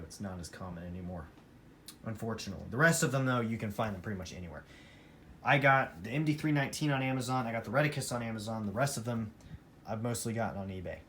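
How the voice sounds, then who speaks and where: A man talking casually and unscripted as a hobbyist presenting a collection, emphatic and repeating phrases for emphasis. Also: fairly low pitch. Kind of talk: casual unscripted vlog talk. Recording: clear background noise, amateur room recording.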